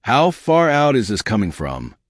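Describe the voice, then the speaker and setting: A gruff, skeptical male scientist who mutters his doubts, as the narrator puts him on. His lines rise and fall with lively pitch, recorded dry and close for an audiobook. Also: normal speed, no room sound.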